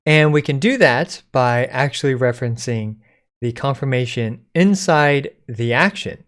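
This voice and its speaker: A man presenting a code-along software tutorial in an informal, step-by-step explaining way. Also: mid-range voice. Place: indoors at a computer, screen recording.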